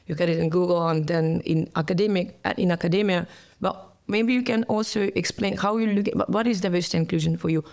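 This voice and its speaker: A woman's voice: on the low side, vocal fry. A woman hosting an interview, asking questions hesitantly in non-native phrasing. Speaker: host. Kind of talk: interview host.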